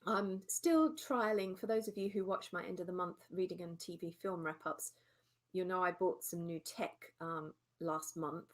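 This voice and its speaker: A woman's voice: lowish voice, moderate pace. A woman from England chatting casually to her webcam in a home vlog, rambling a little with lots of ums. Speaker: vlogger. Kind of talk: casual chat. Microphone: desktop mic with webcam.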